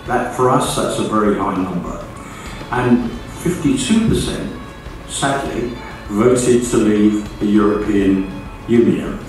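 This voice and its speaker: A man's voice: mid-range voice, darkish tone, slight background noise. Older British man speaking in echoey hall. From United Kingdom. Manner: measured, reads from notes. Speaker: guest speaker. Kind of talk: formal speech at a podium. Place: large school auditorium. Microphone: podium mic from far camera. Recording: echoey hall, far from mic.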